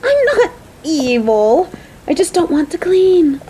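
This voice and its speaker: A woman's voice: sits high, very animated, takes it slowly, noisy background, exaggerated voice. A woman playing a caring, playful coworker on a date in an audio roleplay, talking to the listener in a motherly, teasing, chatty way. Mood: excited, playful.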